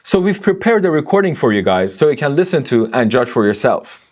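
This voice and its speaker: A man narrating a scripted technical audio review in an explanatory, measured manner. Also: mid-range voice, sing-song.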